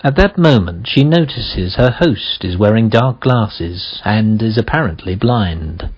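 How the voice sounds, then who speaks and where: A man narrating a radio play as a deliberate, musing storyteller, with rhetorical pauses and questions. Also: lowish voice, lively intonation, crowd noise. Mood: musing, measured. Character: measured, musing male storyteller of a mystery tale. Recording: slightly dull audio with faint music.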